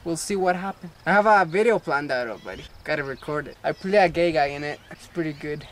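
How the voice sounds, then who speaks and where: A man's voice: on the high side, quick pace, a bit bright, a little hiss or hum. A young man turning eighteen, chatting casually and jokingly in an outdoor playground vlog, recorded on a camera mic. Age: young adult. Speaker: vlogger. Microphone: camera mic at arm's length.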